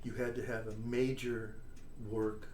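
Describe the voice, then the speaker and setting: Older male interview guest, chatty storyteller, mid pitch, distant mic in a roomy space. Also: level tone, unhurried, darkish tone. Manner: chatty storyteller, tags points with "all right?" and "you know?". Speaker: interview guest, trombonist and composer. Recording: echoey room, distant mic, faint noise.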